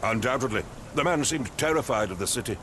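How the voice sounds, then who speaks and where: An actor playing a pompous, impatient middle-aged captain in a video game, with an English accent and clipped, condescending speech. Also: male voice, medium pitch, lively intonation, quick pace, fairly bright tone, slight background noise, close to an everyday voice. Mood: dismissive. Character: pompous, impatient male English captain, haughty wealthy master.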